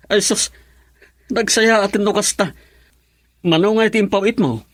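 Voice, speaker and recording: An actor with an Ilocano accent playing a cheerful married father in a radio drama, with a hearty, expressive delivery. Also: high voice, takes it slowly, no room sound, studio quality, heavily acted. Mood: delighted. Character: cheerful married father, husband of an overseas worker.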